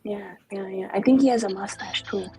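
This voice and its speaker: A woman's voice: mid-range voice, brisk, dark tone, soft background music. A woman player in a tabletop game, chatting in a rambling, casual way.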